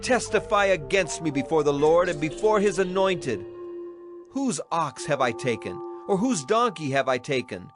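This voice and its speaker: A man's voice: fairly high pitch, big pitch swings, moderate pace. An actor playing an aging, righteous prophet addressing his people in solemn, declamatory dramatized scripture. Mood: earnest challenge. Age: older. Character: aging male prophet, solemn, righteous, stern yet caring. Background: music.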